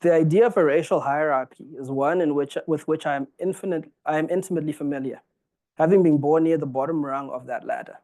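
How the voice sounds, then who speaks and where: Earnest lecturer from Zimbabwe, fairly high voice. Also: male voice, quick pace, warm tone. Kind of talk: formal public lecture read from a text.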